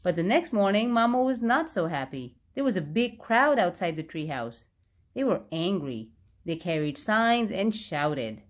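A woman narrating a children's storybook aloud, expressively and with clear phrasing for young listeners. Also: on the low side, big pitch swings, unhurried.

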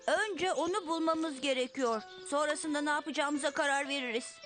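A woman's voice: sits high, moderate pace, music in the background, close to an everyday voice. A woman voicing a responsible, determined young rabbit in a children's cartoon, earnest and firm.

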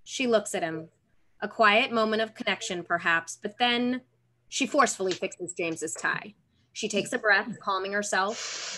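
A woman's voice: medium pitch, pushed and bright, slight background noise, poor audio. A woman in her thirties reading screenplay stage directions as scripted narration over a video call. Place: room at home, indoors. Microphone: computer mic on video call.

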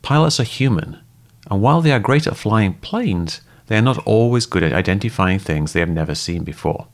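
A man giving calm, methodical scripted narration that explains things step by step. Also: lowish voice, fairly quick. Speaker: host and debunker.

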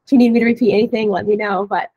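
A woman in her thirties, hoarse and scratchy from a cold, giving a casual software training webinar over a headset. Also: mid-range voice, steady tone, very fast, no room sound, narrow, tinny audio. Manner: casual, explanatory. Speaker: webinar trainer, dealer support staff. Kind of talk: informal software training walkthrough. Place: indoor room, on a video call.

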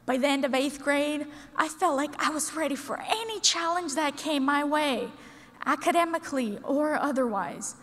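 High-voiced teenage girl at a podium. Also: fairly bright tone. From Russia. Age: teens. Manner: earnest, deliberate delivery with dramatic pauses. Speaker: student speaker. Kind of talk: prepared speech. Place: large conference hall, at a podium. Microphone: podium microphone. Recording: long hall reverb.

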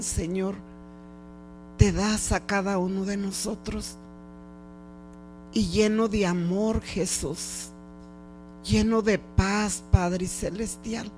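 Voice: mid-range voice, darkish tone, poor audio. A prayer leader speaking a fervent, improvised devotional prayer in short, pleading, repeated phrases. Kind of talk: fervent extemporaneous spoken prayer. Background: music.

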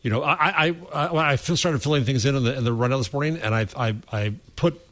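A man's voice: mid-range voice, quick pace, a bit bright. A man talking as a podcast panelist in casual discussion, often restarting his phrases hesitantly.

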